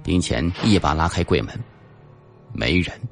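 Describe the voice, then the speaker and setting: Deep-voiced man narrating a suspense audiobook. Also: animated, unhurried, gravelly, soft background music. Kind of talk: scripted dramatic narration of a suspense novel.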